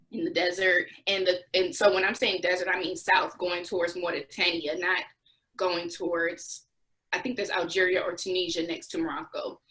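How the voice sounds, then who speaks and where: A woman's voice: fairly low pitch, sharp tone, rough recording. A young adult woman answering interview questions, recounting experiences as a former volunteer with restarts and occasional laughs.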